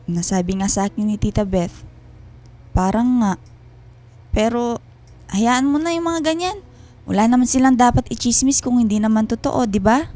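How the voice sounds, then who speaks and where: A woman's voice: fairly high pitch, animated, normal speed, long pauses, dark tone, natural voice. An actress playing a lonely, guarded wife and mother in a radio drama, restrained and hesitant, politely hiding hurt.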